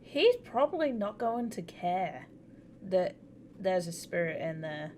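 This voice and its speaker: Slow-talking woman streamer, slightly noisy. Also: on the low side. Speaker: live streamer. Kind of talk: casual live game commentary, chatting to viewers. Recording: steady audible background noise.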